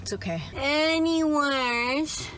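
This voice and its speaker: A woman's voice: high voice, lively intonation, slow, a bit bright, a little hiss or hum. A young woman vlogging from inside a car, chatting in a loose, upbeat way with lots of "like" and "right?". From Canada. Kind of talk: casual chat to camera.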